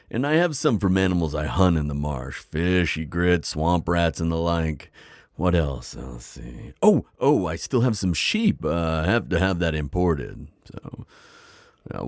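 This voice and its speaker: A man playing a kindly, wise vampire innkeeper in an intimate ASMR roleplay, deep-voiced, with a folksy, chatty and slightly hesitant manner. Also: sing-song, a little slow, no room sound, studio quality, exaggerated voice. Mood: welcoming, chatty. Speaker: audio roleplay performer.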